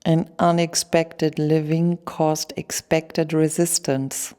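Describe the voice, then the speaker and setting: A woman playing a weary former punk singer, giving a measured, reflective monologue in a radio play. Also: deep voice, flat delivery, warm tone, smooth.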